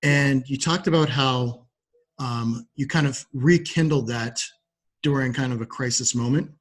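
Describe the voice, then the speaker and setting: A man's voice: medium pitch, unhurried. A man hosting a conversational podcast interview, speaking in a supportive, slightly hesitant way.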